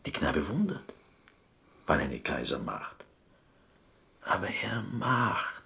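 An actor playing an earnest, excitable Greek prisoner in a radio drama, telling stories insistently in halting, broken speech with a Greek accent. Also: male voice, sits low, creaky, full character voice. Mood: reverent, excited. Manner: halting broken German, insistent, half-whispered storytelling.

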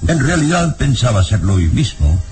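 An actor with a Mexican accent playing a fearful, secretive elderly professor in an old radio serial, halting and breathy. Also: male voice, mid-range voice, big pitch swings, close to an everyday voice. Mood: nervous, evasive. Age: older. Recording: old radio serial with loud background music.

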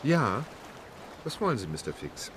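An actor playing an unflappable gentleman traveler in a German radio drama, measured, polite and matter-of-fact. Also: mid-range voice, very animated, noisy background. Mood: calm, reassuring. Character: composed, precise English gentleman traveler, unflappable.

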